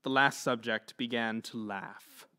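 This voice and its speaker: A man's voice: medium pitch, fairly even intonation, a little slow, sharp tone, clear, resonant tone. A man narrating a horror story in an intense, theatrical manner.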